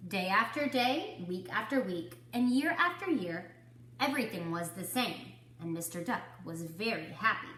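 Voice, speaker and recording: A woman reading a children's story to kindergarteners, with deliberate, dramatic phrasing. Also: mid-range voice, expressive, fairly bright tone, echoey room. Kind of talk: expressive children's story narration.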